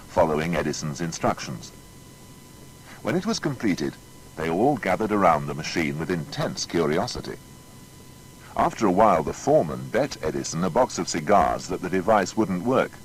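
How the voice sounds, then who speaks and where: A man narrating a scripted biography as a measured storyteller. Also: low-pitched, big pitch swings, a little hiss or hum, rough recording.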